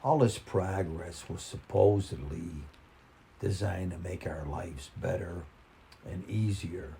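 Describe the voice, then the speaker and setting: Very deep, gravelly older male storyteller. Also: takes it slowly, dark tone, slight background noise. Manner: folksy, plain-spoken. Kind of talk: reading a personal short story in a conversational, reminiscing way.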